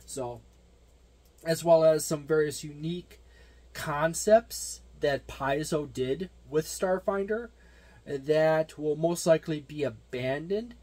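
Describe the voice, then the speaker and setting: A man giving a casual, unscripted product overview as a hobby reviewer, talking haltingly and wandering into side remarks. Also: fairly high pitch, darkish tone. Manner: halting, digressive delivery. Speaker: tabletop gaming reviewer.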